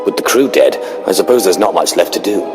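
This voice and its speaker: Deep, ruthless Sith. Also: male voice, fast talker, over music. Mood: stern disbelief. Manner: menacing, curt, imperious. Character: cold, ruthless male Sith player hero. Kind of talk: scripted video game dialogue.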